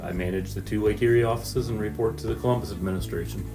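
A man in his forties from Northwest Ohio, a fisheries administrator answering interview questions in a measured way with frequent pauses and restarts. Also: on the low side, fairly even intonation, creaky, warm tone, faint music behind.

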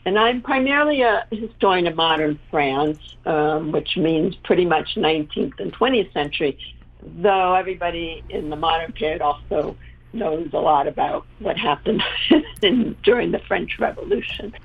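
A woman history professor explaining as a panel guest in a measured, lecturing way. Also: on the low side, expressive, vocal fry. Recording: tinny phone-like line.

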